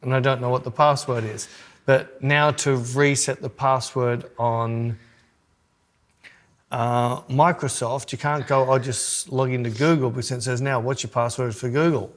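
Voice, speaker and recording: A man's voice: medium pitch, fairly even intonation, a little slow. A man in his sixties co-hosting a podcast, chatting casually with dry, teasing banter. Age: 60s. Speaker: podcast co-host. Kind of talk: casual chat.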